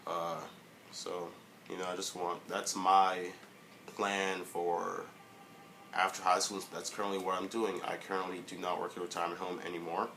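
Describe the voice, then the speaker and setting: Young male vlogger, low monotone voice, hesitant and filler-heavy, faint hiss behind. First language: English. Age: young adult. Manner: halting, many fillers and restarts. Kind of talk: casual motivational monologue.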